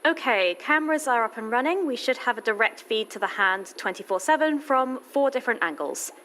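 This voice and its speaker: A woman acting a brisk, easily flustered colleague in an audio drama. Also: fairly high pitch, lively intonation, a bit bright. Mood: brisk, concerned. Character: brisk, flustered colleague hiding private worries.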